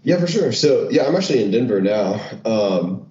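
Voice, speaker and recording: A man being interviewed as a guest, telling stories in an animated way, full of fillers like "like" and "um". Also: lowish voice, normal speed, reverberant, dull audio. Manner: animated, filler-heavy storytelling. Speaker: retreat founder, guest. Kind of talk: casual interview chat.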